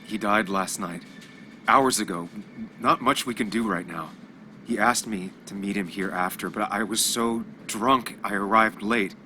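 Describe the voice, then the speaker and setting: A man's voice: lowish voice, a little slow, bright, forceful tone, a little hiss or hum. An actor playing a brooding, guarded journalist in a video game, analytical and understated in his delivery. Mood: urgent, guilty. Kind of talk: video game voice acting with muttered inner monologue. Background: music.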